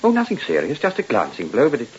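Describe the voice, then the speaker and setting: A man in an old-time radio drama playing a wronged husband who is calculating, measured and quietly commanding. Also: medium pitch. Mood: wearily explaining. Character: cool, calculating wronged husband turning the tables. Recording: muffled old radio recording, steady hiss.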